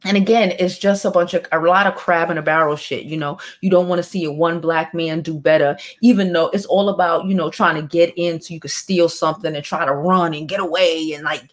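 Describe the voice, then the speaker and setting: Woman, thirties, lower-pitched voice, blunt profane news vlogger, sighing, home studio. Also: steady tone, brisk, hardly any pauses. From Brooklyn, New York. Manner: blunt, profane, frequent sighs and asides. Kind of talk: casual commentary, reading news aloud. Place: home studio with neon sign, indoors.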